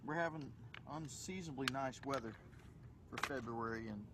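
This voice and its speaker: Guy talking thru a car fix outside, gravelly voice, loud background noise. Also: medium pitch, normal speed. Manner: easygoing, talking himself through a task. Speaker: podcast host. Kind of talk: casual chat while doing car repair. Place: outdoors by his car.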